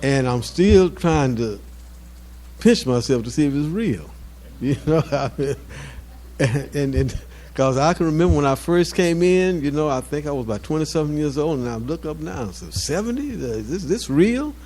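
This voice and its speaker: Halting older man, informal public address. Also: a little high, animated, warm tone. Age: 70s. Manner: halting, repeats words and searches for phrases. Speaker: mosque community elder speaking at an anniversary event.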